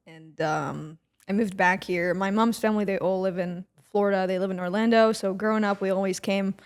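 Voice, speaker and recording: A woman in her twenties from Israel, co-hosting a casual podcast and chatting in a relaxed way with many fillers. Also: medium pitch, brisk, clear, resonant tone. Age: 20s. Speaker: podcast co-host.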